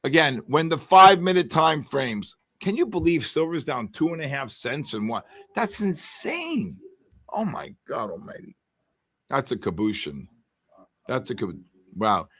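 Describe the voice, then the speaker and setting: A man with a Bronx accent hosting live market commentary, loud, exclamatory and wisecracking. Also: mid-range voice, sing-song, a little slow. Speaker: trading show host.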